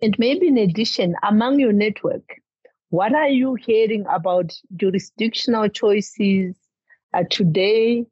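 A woman hosting a podcast interview, reading prepared questions at a measured pace. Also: medium pitch, darkish tone, breathy edge, no room sound. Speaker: podcast host. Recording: dull, lo-fi dry sound.

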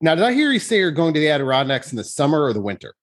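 A man's voice: fairly high pitch, huge pitch swings, very fast. A man hosting a casual interview, talking in a rambling, thinking-aloud way. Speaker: interviewer, host.

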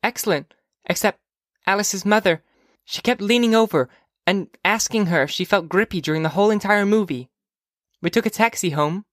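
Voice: medium pitch, moderate pace, a bit bright, dry recording, studio quality, pitched above the performer's own voice, close to an everyday voice. A narrator voicing a young girl, an earnest, blunt and chatty kid sister, in an audiobook. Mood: matter-of-fact. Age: child figure. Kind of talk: audiobook character dialogue.

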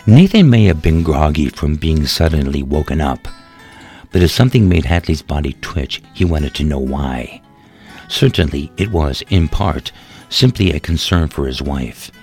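A man's voice: extremely low pitch, expressive, moderate pace, darkish tone. A man reading a scripted story as a dramatic narrator. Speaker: author narrating own story. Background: music.

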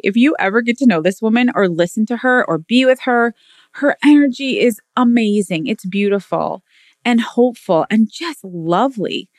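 A woman's voice: medium pitch, no room sound, studio quality. A woman hosting a podcast, reading an enthusiastic, admiring introduction of her guest. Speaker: podcast host.

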